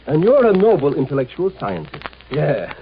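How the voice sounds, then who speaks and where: Gruff sardonic marshal, scratchy old radio. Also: male voice, on the high side, wildly swooping pitch, moderate pace, lo-fi sound. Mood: bitter sarcasm. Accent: American. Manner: blunt, sardonic, commanding. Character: gruff, sarcastic military marshal of a galactic empire. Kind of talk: 1950s American radio drama acting. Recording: scratchy, noisy 1950s radio broadcast.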